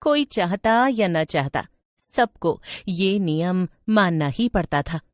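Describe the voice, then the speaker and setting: A woman's voice: lowish voice, expressive, slow, dry recording. A woman storyteller reading a scripted tale as narrator.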